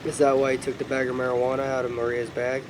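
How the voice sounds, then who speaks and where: A man's voice: medium pitch, monotone, fast, a little hiss or hum, close to an everyday voice. A man acting as God, a heartbroken, loving father with a sorrowful, reflective manner in film dialogue. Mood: knowing.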